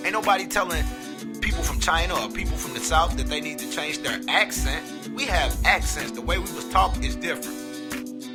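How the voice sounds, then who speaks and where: A man's voice: on the high side, animated, normal speed. Impassioned man ranting over hip hop. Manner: blunt, impassioned, swears. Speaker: podcast host. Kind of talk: opinion monologue. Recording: roomy with hip hop music behind.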